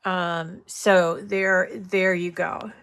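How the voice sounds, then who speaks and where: A woman's voice: lowish voice, flat delivery, extremely slow, a bit bright, clean tone. A woman hosting a crafting tutorial, talking in a chatty, rambling way while stuffed up with a cold. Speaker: crafting show host.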